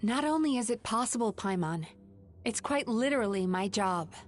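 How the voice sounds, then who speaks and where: A woman voicing a calm, resolute young dream-eating woman in a video game, speaking in a gentle, composed and serious way. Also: on the high side, normal speed, lightly acted. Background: game music.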